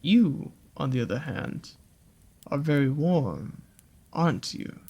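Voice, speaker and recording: A seductive naga monster of a dark forest, acted out by a performer, speaks very slowly in a husky, breathy voice. The lines swoop and curl with teasing menace, coaxing the listener in an intimate audio roleplay. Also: medium pitch, sing-song, heavily acted. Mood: teasing, tender. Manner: slow, coaxing, teasing, drawn-out phrasing.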